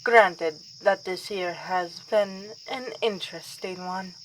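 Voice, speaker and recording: Actress as reluctant vampire princess, ASMR roleplay: kindly, wry, slightly haughty, slow delivery, faint crickets in the background. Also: female voice, lowish voice, rough recording. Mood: incredulous. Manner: formal wording with wry, weary asides. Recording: outdoor ambience with faint insect noise.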